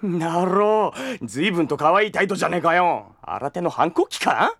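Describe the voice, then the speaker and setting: Hot-headed young detective, coarse slangy speech, swinging pitch, dry close sound. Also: male voice, expressive, gravelly, dry recording, heavily acted. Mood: irritated. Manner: coarse slangy street talk, quick to shout. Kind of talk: anime drama CD acting.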